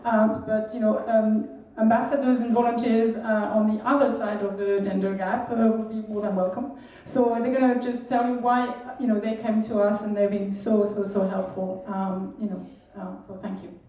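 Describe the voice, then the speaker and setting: A woman with a mellow, soft tone hosts the evening, speaking loosely and hesitantly with lots of fillers. She is picked up from afar in a very echoey room. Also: medium pitch, little pitch movement, normal speed. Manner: casual, hesitant, many fillers. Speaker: event organizer. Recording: echoey room, distant mic.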